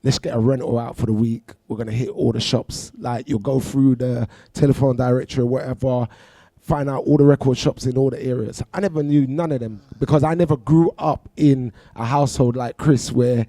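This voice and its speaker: A middle-aged man with a London accent, chatting as a guest on a radio show and telling animated stories about his career. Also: medium pitch, brisk, hardly any pauses, no room sound. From London, England. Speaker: guest DJ and producer. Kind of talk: casual radio interview. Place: radio studio. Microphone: studio mic.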